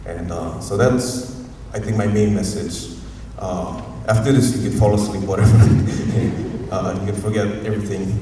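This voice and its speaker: A university professor from Hawaii with a Hawaiian accent, giving a rambling, informal, unscripted talk at a community forum and often chuckling. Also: male voice, fairly low pitch, fairly even intonation, normal speed, hardly any pauses, mellow. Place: museum auditorium. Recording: echoey hall, distant mic, faint noise.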